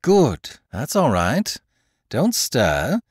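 A man voicing a suave, mocking gentleman thief who talks in a jaunty, patronizing, theatrical way. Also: medium pitch, huge pitch swings, drawn-out words, slightly rough, no room sound, clean studio sound, pitched above the performer's own voice, full character voice.

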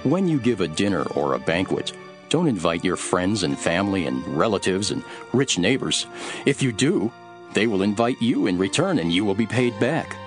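Solemn male teacher-prophet, low voice, very varied intonation, earnest preaching, scripture drama. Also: big pitch swings. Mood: earnest teaching. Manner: measured, emphatic, preaching. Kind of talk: dramatized scripture reading over music. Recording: music behind the voice.

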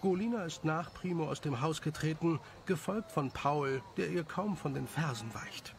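A man's voice: fairly high pitch, normal speed, gravelly, a little hiss or hum. A man narrating a scripted story for an audio drama.

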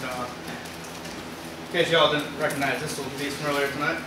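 A man's voice: on the high side, fairly even intonation, fairly quick. A young man, a wrestler delivering a cocky, boastful live promo. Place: small indoor wrestling venue with audience. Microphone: far camera. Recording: very echoey room, far camera, loud noise.

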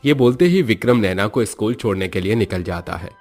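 A man's voice: on the low side, expressive, fairly quick, a little mellow, faint music behind. A man narrating a scripted story as its storyteller.

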